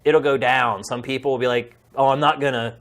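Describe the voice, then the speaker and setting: A middle-aged man hosts a talk podcast in a medium-pitched voice. He speaks informally and earnestly to his audience, with emphatic phrasing and a heartfelt, conversational delivery. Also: steady tone, a little fast, fairly bright tone. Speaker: podcast host. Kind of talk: casual, heartfelt address to viewers.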